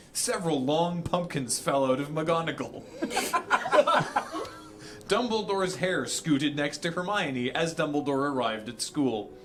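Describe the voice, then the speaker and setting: A man reading an absurd story aloud theatrically for comedy, prone to breaking up as he goes. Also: a little high. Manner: theatrical, often breaking into laughter. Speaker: reader on a charity stream.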